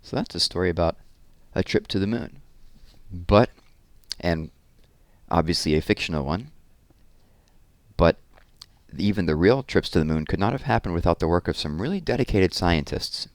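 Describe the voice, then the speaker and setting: A man reading a children's story aloud as host, with an expressive, deliberate storyteller delivery. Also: sits low, no room sound. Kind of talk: expressive children's picture book read-aloud with informal asides.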